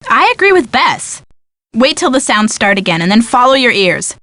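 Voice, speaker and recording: A sardonic young friend of a detective in a video game. Her voice is fairly high and closely recorded with no room sound, her manner practical, sceptical and teasing. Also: very animated, moderate pace, a bit bright, no echo. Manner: dry, sarcastic, teasing. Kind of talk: scripted video game dialogue.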